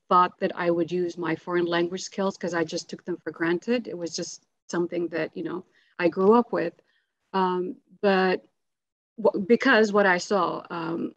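A middle-aged woman from Sudan whose first language is Arabic, speaking earnestly on a panel and filling her pauses with "um" and "uh". Her voice sits fairly low for a woman. The video-call audio is dry and a little dull. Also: no room sound. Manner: earnest, many fillers.

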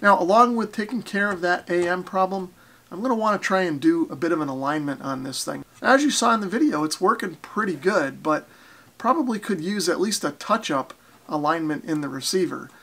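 American man, 40s, casual hobby vlogger, higher-pitched voice with some fry, modest plain explainer. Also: lively intonation, brisk, bright, forceful tone. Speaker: ham radio vlogger. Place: basement radio workshop indoors.

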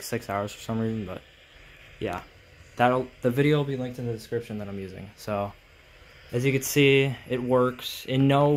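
A man giving an informal tech tutorial in a halting, explanatory way, recorded on a handheld phone in a room at home. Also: mid-range voice, slight background noise. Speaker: hobbyist video host. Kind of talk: casual tech tutorial vlog. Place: small indoor room at home.

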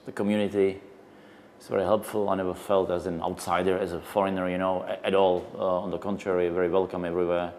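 A man in his thirties speaks in a lowish voice. He is thoughtful and halting, with fillers along the way. It is a documentary interview in a cafe. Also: level tone, darkish tone, a little hiss or hum.

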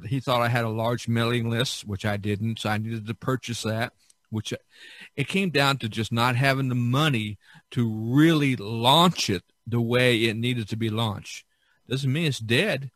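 A man's voice: mid-range voice, unhurried, fairly bright tone, dry recording, clean studio sound. A man hosting a podcast in casual, conversational talk. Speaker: podcast host.

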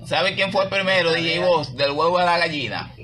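A man's voice: fairly high pitch, normal speed, bright, forceful tone, faint music behind. A man with a Dominican accent hosting a radio show with casual banter. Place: small radio studio. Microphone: studio microphone. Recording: noisy phone-camera studio capture.

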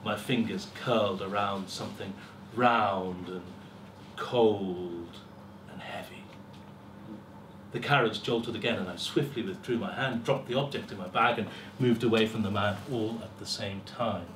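A middle-aged man giving a dramatic, deliberate first-person story reading, with pauses and swelling emphasis. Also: lowish voice. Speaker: story reader at a live festival. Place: small lecture room with seated audience. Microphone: far camera. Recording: roomy, distant camera mic, faint hiss.

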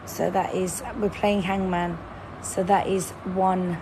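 A woman's voice: lowish voice, flat delivery, takes it slowly. A woman in her thirties who has lost her voice and hasn't slept, chatting casually with livestream viewers from her bed into a phone held at arm's length. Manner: lying in bed, talking to a phone camera, reading out chat. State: hoarse, lost voice; sleep-deprived. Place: bedroom, indoors, in bed. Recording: fan noise in the background.

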